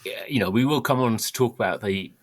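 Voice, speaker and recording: A man hosting a football podcast who speaks haltingly, stopping and restarting his sentences. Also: medium pitch, no room sound. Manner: halting, stops and restarts often. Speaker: podcast host. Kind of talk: casual podcast chat.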